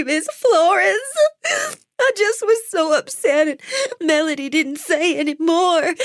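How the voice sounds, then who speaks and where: Woman voicing a young woman, high shrill sobbing voice, gushing, frantic. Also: no echo, studio quality. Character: hysterical young woman, weepy and pleading.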